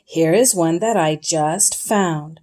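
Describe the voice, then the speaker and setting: A friendly, helpful raccoon from a children's storybook, speaking plainly and matter-of-factly as a woman reads him. The delivery is slow and easy. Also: fairly low pitch, a little mellow, pitched below the performer's own voice.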